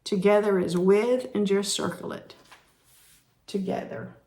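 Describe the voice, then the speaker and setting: A woman teaching sign language, explaining each sign slowly and repeating the words as she demonstrates. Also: mid-range voice, dark tone. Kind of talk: slow instructional explanation.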